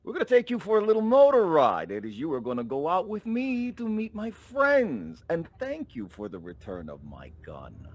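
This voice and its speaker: A man's voice: on the high side, huge pitch swings, normal speed, hardly any pauses, dry recording. An actor playing a dim but menacing gangster henchman in a noir radio play, taunting with mock politeness. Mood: gloating menace. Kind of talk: 1940s radio noir acting.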